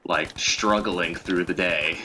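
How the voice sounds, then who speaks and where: A man's voice: deep voice, fairly even intonation, takes it slowly, bright, forceful tone, a little hiss or hum. A young man telling casual stories in a profane, crudely teasing way, as a co-host chatting with a friend. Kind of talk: casual storytelling chat over a game.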